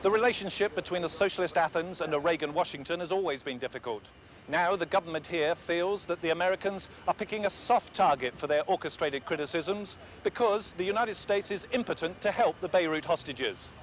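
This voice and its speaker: A man with a notably high voice gives a correspondent's analysis. He is deliberate and analytical, with a thin hiss of old broadcast tape. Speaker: foreign correspondent. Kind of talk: scripted news analysis.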